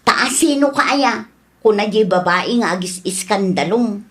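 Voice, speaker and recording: A woman's voice: mid-range voice, animated, normal speed, lightly acted. A woman with an Ilocano accent playing an opinionated village gossip in a radio drama, speaking emphatically. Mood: confiding.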